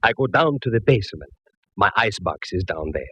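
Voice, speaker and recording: A jittery restaurant owner, real deep voice that swings up and down, stiff and overly polite, old muffled radio sound. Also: male voice, sing-song, brisk, sharp tone, husky, dry recording, exaggerated voice. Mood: nervous, formal. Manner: formal, anxious, old-world politeness. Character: fussy Italian male restaurant owner, middle-aged. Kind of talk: 1940s radio acting.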